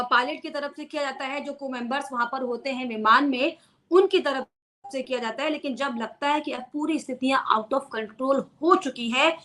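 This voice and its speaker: High, bright-voiced woman explaining news. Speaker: news presenter explaining a story. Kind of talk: unscripted news explainer to viewers.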